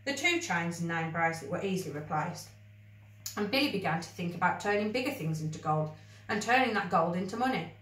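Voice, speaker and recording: A woman reading a children's story aloud with expressive narration. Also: fairly low pitch, fairly quick. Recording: roomy, echoey, distant microphone.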